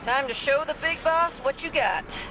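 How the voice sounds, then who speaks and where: A woman's voice: sits high, normal speed, noisy background, natural voice. A woman playing a breezy, confident, friendly pilot in a video game, casual and joking. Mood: breezy, teasing. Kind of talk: video game radio chatter.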